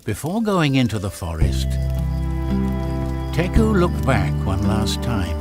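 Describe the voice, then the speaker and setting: A man narrating a game's story in a solemn, theatrical storybook style with measured delivery. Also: mid-range voice, huge pitch swings. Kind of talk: dramatic scripted storybook narration for a game. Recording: music behind the voice. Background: loud music.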